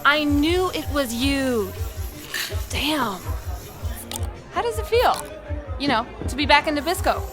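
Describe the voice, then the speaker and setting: Actress as a higher-voiced, blunt woman. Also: animated, slow, long pauses, music in the background. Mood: friendly, curious. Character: assured woman, office manager and bar co-worker. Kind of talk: stage play acting.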